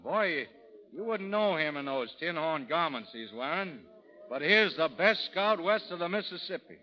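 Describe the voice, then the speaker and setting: An actor playing a wry frontier army scout with folksy, teasing humor in a 1930s radio drama. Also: male voice, a little high, animated, moderate pace, close to an everyday voice. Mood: jovial, teasing. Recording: muffled vintage 1930s radio broadcast.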